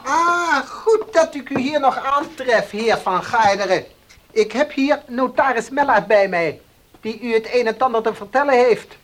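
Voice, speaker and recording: A man's voice: very high voice, lively intonation, a little slow, fairly bright tone, a little hiss or hum, exaggerated voice. An actor playing a pushy, indignant village baker in a radio play, insistent and bossy in his delivery. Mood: commanding. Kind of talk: Dutch radio play acting.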